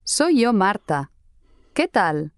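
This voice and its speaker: An actress playing a friendly young woman in a slow, scripted dialogue for language learners. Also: mid-range voice, big pitch swings, dry recording, studio quality, full character voice. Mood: careful. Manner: clear, careful friendly delivery.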